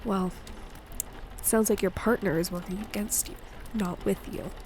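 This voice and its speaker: A woman playing an affectionate, playful wife and mother in an intimate audio roleplay, speaking in a gentle, teasing way. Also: medium pitch, steady tone, normal speed, a little hiss or hum, lightly acted. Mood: caring.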